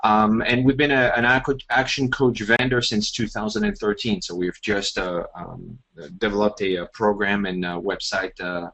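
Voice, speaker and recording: A French-accented man in his forties leads an online presentation. He talks haltingly and fills his pauses with "uh" and "um". His voice sits a little low. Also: a bit bright, rough recording. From France. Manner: halting, many fillers and restarts. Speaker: webinar presenter.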